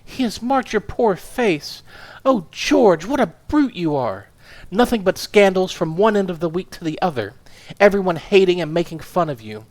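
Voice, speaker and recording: A man's voice: high-pitched, sing-song, moderate pace, voice pushed up, poor audio. A man voicing a small, furious married woman who screams and scolds her husband. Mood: furious scolding. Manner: shrieking, scolding.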